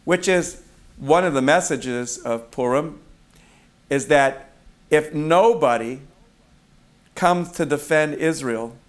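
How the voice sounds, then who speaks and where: Rabbi giving a chatty sermon. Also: male voice, fairly high pitch, slow, long pauses. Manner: conversational storytelling with fillers and self-corrections. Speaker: rabbi of a congregation.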